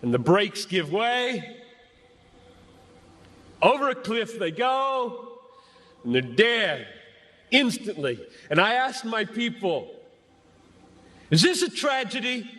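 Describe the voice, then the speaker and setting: A man preaching an impassioned sermon to a crowd as a pastor, speaking emphatically and deliberately with dramatic pauses between short phrases. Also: sits high, sing-song, sharp tone. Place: large gathering before a crowd. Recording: echoey large venue, long reverb.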